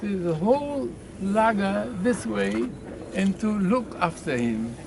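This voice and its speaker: A man, giving halting spoken testimony outdoors, often repeating words as he searches for phrases. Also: high-pitched, big pitch swings. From Poland. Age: 80s. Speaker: survivor interviewee. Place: outdoors at a memorial site. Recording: outdoor noise in background.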